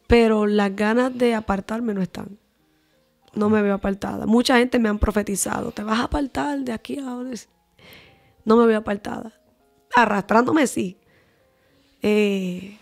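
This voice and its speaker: Evangelista dominicana habladora. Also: female voice, on the high side, a little mellow. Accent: Dominican Spanish. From Dominican Republic. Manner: talkative, lengthy explanations. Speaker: evangelist guest. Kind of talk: podcast interview testimony.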